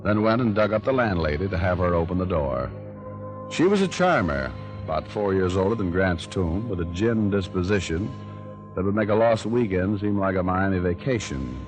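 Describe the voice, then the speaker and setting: An actor playing a cool, cocky private detective in a vintage radio noir, sardonic and clipped. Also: male voice, sits low, moderate pace, natural voice. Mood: wry narration. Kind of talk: 1940s radio noir acting and narration. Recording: old radio recording with loud music.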